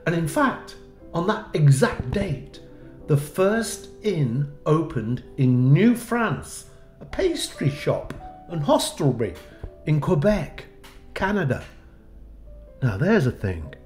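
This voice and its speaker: Slow dry guy narrating a church tour, roomy echo on the voice. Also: a little high, big pitch swings, darkish tone, faint music behind. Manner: slow, dry wry asides. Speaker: church tour video host.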